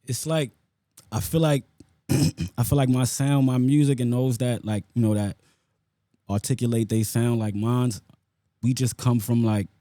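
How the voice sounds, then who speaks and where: A man's voice: medium pitch, fairly even intonation, unhurried, long pauses, warm tone, no room sound, studio quality. A man with a New York accent talking casually in an interview as a rapper, using street slang and frequent check-in tags. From South Bronx, New York. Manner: street slang with frequent "you know what I'm saying" tags.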